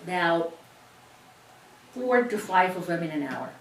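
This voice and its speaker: A woman's voice: fairly low pitch, animated, measured pace, distant mic, slight background noise. A middle-aged woman with a Cuban accent teaching a sewing class, explaining each step in a chatty way with frequent restarts. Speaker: sewing instructor. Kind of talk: hands-on teaching demonstration. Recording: echoey room, voice away from the mic, faint ambience.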